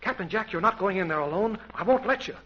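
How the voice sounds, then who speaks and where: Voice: medium pitch, animated, quick pace, a bit bright, lightly acted. A performer playing an eager, earnest American boy adventurer in an old-time radio serial. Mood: anxious. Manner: breathless, earnest, exclaiming. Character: eager excitable boy adventurer, loyal and brave. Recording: dull, noisy vintage radio recording.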